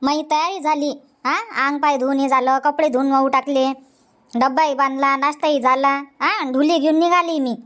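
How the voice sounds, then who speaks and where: Cartoon comedy housewife, played by an actor: very high voice, chatty, insistent nagger, rural Vidarbha Marathi accent. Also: steady tone, normal speed, fairly bright tone. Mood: nagging, impatient.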